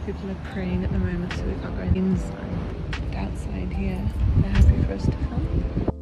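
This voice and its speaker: Female vlogger, 30s, mid-range voice, casual outdoor chat under loud music. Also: medium pitch, little pitch movement, normal speed. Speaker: travel vlogger. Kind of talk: casual travel vlog chat. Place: outdoors at a mountain mosque.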